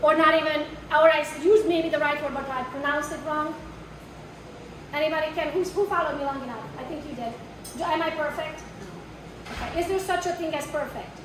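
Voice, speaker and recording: Accented woman trainer, high voice, blunt and brash with a live audience, echoey room, distant mic. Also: brisk, noisy background. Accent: foreign. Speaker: real estate marketing trainer. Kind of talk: live workshop talk to an audience.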